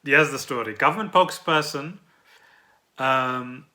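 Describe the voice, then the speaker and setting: A man's voice: medium pitch, measured pace, pushed and bright. A South African man hosting a podcast, explaining trending news in a conversational way and often checking in with the listener. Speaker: podcast host.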